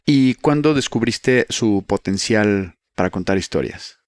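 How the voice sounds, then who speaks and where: Guy hosting a podcast, quick direct questions, dry room. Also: lowish voice, animated, dry recording. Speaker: podcast host. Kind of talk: interviewing.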